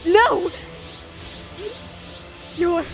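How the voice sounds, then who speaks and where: Very high, anguished young heroine played by a woman. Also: extremely slow, full character voice. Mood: anguished fury. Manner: desperate, shouting denials. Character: anguished young daughter, a fighter fearing her own power. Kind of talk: fan-made anime roleplay drama acting. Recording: loud music behind the voice.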